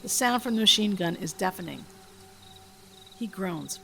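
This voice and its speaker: A woman's voice: medium pitch, expressive, normal speed, vocal fry, music in the background. A woman podcast host reading her own script aloud, stage directions and all. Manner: reads stage directions steadily. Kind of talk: script read-aloud narration.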